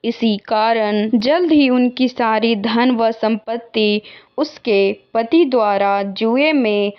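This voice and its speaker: Woman narrating a kids bedtime story, takes it really slow, a bit high, muffled lo-fi sound. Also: clear, resonant tone. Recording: dull lo-fi narration audio.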